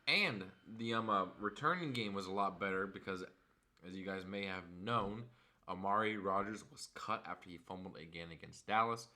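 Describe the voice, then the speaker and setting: A hoarse young man, a frustrated fan, recapping a football game and reading off stats in a casual vlog. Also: low voice, normal speed, pushed and bright. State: hoarse from screaming.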